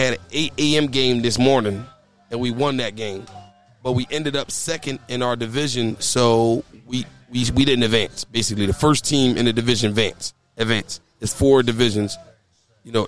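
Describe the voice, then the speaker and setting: A hoarse man hosting a casual talk show, often stammering and repeating his words as he speaks outdoors. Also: mid-range voice. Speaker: podcast host and youth basketball coach. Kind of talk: casual chat. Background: faint background music.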